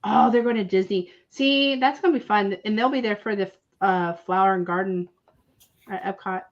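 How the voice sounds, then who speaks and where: A woman's voice: mid-range voice, normal speed. A woman, co-hosting a casual livestream chat and laughing often. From South Texas. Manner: chatty, laughs often, says y'all. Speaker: livestream co-host. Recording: lo-fi stream audio.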